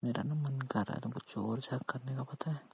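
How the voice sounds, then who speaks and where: A man playing a teasing, romantic, mildly demanding husband in a casual, coaxing at-home conversation, with a husky voice. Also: medium pitch, brisk, dry recording. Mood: teasing, affectionate. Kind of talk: intimate Hindi role-played couple conversation. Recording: muffled, lo-fi, dry call-style recording.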